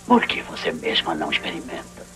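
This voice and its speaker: A man voicing a sly, sinister smoke creature in a dubbed cartoon, coaxing and sneering with a gravelly voice. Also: extremely low pitch, huge pitch swings, brisk, heavy background noise, heavily acted. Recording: old cartoon soundtrack with music.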